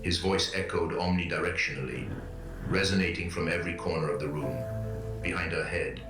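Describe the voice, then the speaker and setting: A man narrating a dark drama in a grave, even manner. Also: low-pitched, fairly quick. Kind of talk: scripted narration. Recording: echoey, with loud music.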